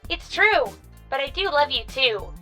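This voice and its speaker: A woman voicing a devoted, chirpy robot helper in an animated comedy, adoring and eager. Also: high voice, a little slow, soft background music, exaggerated voice.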